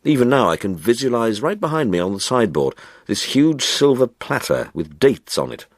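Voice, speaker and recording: A man reading a literary short story in a wry, measured and expressive storytelling manner, like an audiobook narrator. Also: medium pitch, no echo, studio quality.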